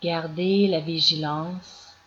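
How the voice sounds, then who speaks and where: A woman guiding a yoga nidra meditation as scripted narration, in calm, measured instructions with long pauses. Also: low voice. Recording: dull lo-fi recording with clear background noise.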